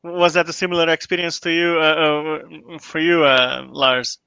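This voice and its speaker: Man, livestream host, encouraging questions, crisp resonant voice, dry close sound. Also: on the high side, fairly even intonation, bright, forceful tone, no echo. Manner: encouraging, quick follow-up questions. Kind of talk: livestream interview.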